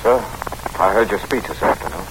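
Fervent army captain, very animated. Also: male voice, deep voice. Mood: earnest, humble. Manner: earnest, halting, emphatic. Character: earnest, battle-scarred army captain, respectful and fervent. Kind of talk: 1940s radio drama acting. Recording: faintly noisy old radio broadcast.

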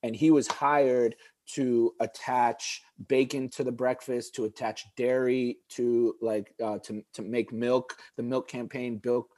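A man's voice: medium pitch, level tone, a little slow, darkish tone, no room sound. A man in his forties, born in Egypt and raised speaking Arabic first, talks earnestly as a podcast guest. He often breaks off and restarts his sentences while explaining his views. His voice is recorded dry and close, with no room sound. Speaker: guest, juice bar founder. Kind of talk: podcast conversation.

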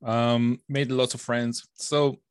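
A man's voice: mid-range voice, level tone, slow, clean tone, dry recording, clean studio sound. A man in his forties with an Israeli accent, answering interview questions with many fillers and restarts. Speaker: author guest. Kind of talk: interview conversation.

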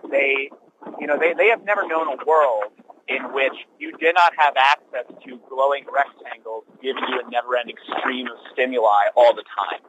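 A man's voice: mid-range voice, normal speed, noisy background. A man in his thirties, a teacher, chatting wryly and rambling with lots of fillers, on a phone call from a sheltered spot outdoors. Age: 30s. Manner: rambling, wry, many fillers and restarts. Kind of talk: casual phone chat.

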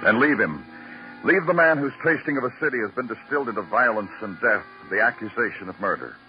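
Radio drama police lieutenant, played by a man: American, deep, swinging delivery, terse and brooding, dull vintage recording. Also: lively intonation, fast talker, faint music behind, lightly acted. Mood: brooding narration. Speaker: lead actor and narrator in a radio drama. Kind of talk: classic radio detective drama acting.

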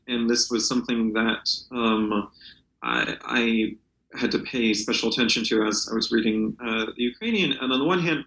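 A man speaking as a literary translator in an unscripted interview, halting and often searching for words. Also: mid-range voice, gravelly, a bit bright, echoey room, poor audio.

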